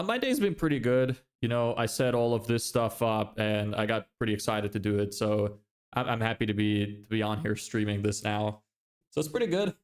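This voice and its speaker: Relaxed young man chatting on stream. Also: on the low side. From Lithuania, raised in Ireland. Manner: relaxed, rambling, with many restarts. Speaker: livestreamer. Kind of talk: casual livestream chat, reading chat.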